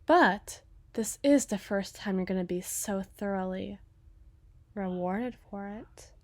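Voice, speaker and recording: A menacing, coolly seductive female crime boss, played by a woman in an ASMR audio roleplay. She speaks slowly and deliberately, often sinking toward a whisper. The sound is very dry, and her delivery is theatrical and scheming. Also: medium pitch, a little mellow, no room sound. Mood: coaxing, seductive.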